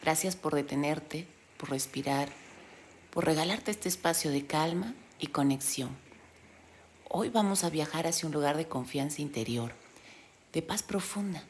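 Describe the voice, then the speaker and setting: A woman leading a guided meditation in a gentle, soothing delivery with pauses. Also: deep voice, slight background noise. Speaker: meditation guide, yoga teacher. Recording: steady loud sea-wave background sound. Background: sound of ocean waves.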